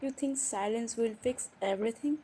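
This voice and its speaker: A woman playing a hurt, defiant young college-age stepsister in an improvised audio roleplay, speaking emotionally and haltingly with sighs and pauses. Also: a little high, mellow, natural voice. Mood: hurt, bitter. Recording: faint background noise.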